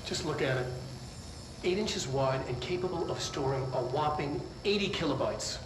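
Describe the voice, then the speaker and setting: An actor playing an eccentric, enthusiastic government scientist lecturing in a theatrical, pedantic way with wry asides. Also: male voice, a little high, animated, normal speed, natural voice. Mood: excited, wry. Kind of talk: filmed scientific presentation. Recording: roomy echo with clear background noise.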